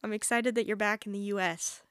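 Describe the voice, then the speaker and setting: A woman in her twenties talks as a solo podcast host in a chatty, informal way. Her voice sits at a middle pitch for a woman and peppers sentences with "like". The sound is dry, with hardly any room echo. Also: steady tone, brisk, clear, resonant tone. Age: 20s. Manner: chatty, informal, heavy use of "like". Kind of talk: casual solo podcast chat.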